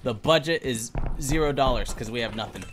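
A man's voice: medium pitch, fairly quick, a bit bright, slight background noise. A young man streaming a horror game from his bedroom, chatting casually with friends and laughing often. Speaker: gaming streamer. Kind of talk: casual gaming chat.

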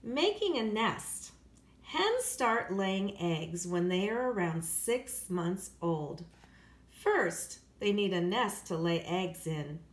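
Casting: American female elementary teacher, animated pitch, explanatory read-aloud style for kids, recorded in an echoey room. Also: takes it slowly. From United States. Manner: explanatory, repeats and rephrases facts for children. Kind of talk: read-aloud lesson for children.

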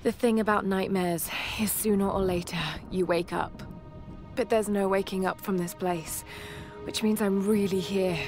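An actress with a British accent playing a young shipwrecked archaeologist, anxious but determined, in a hesitant, introspective video game journal. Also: female voice, mid-range voice, steady tone, normal speed, music in the background, lightly acted. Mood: anxious, shaken.

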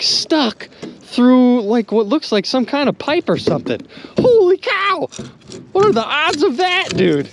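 Young male vlogger on a river boat, lively swinging pitch, excitable outdoor chatter, faint noise behind. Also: vocal fry. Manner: animated, exclaiming, chatty with viewers. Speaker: magnet fishing vlogger. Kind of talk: excited casual narration to viewers.

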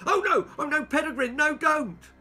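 A cheeky storybook schoolgirl , played by a man, pitched high and shrill. She is dramatic and exclamatory, with bright, forceful delivery. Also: animated, normal speed, exaggerated voice. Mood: shrieking alarm.

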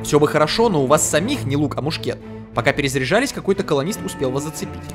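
A man's voice: on the high side, animated, rapid-fire. A man hosting a let's play, reading the game text aloud and reacting in loose slang. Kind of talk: casual gameplay commentary. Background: game music.

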